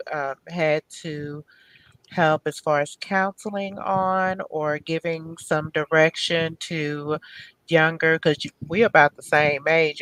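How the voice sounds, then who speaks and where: A woman's voice: low-pitched, a bit bright, dry recording, low-quality recording. A middle-aged woman hosting a talk show over a video call, talking casually and hunting for words with lots of fillers. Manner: rambling, searching for words with many fillers.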